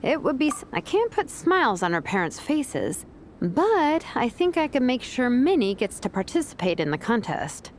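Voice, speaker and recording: An actress playing a confident vice captain and teacher in a video game, speaking casually, diplomatically and persuasively. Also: female voice, a little high, very animated, normal speed, noisy background. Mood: casual, considerate.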